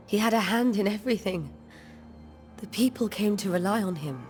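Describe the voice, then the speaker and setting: Actress as grieving, genteel colonial wife. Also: female voice, mid-range voice, lively intonation, darkish tone, soft background music, lightly acted. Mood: composed, wistful. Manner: formal, measured, old-fashioned phrasing. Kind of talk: dramatic video game acting.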